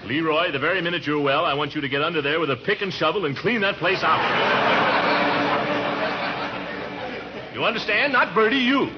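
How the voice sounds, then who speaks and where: A man's voice: a little high, fast talker, over loud music, natural voice. A man playing a blustering, pompous middle-aged uncle in a vintage American radio comedy. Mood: exasperated. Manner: blustery, pompous, theatrical exclamations. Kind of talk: 1940s-50s radio comedy acting. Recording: dull, noisy old radio recording.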